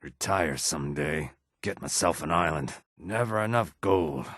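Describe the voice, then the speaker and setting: A reptilian assassin from a fantasy video game, speaks from deep in his register. His voice is rough and husky, with deliberate phrasing. The sound is close and dry, with no room around it. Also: lively intonation, fairly bright tone, no room sound, exaggerated voice. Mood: muttering, grumbling. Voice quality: husky, rasping. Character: low-voiced male reptilian Argonian assassin and outlaw. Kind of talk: video game character dialogue.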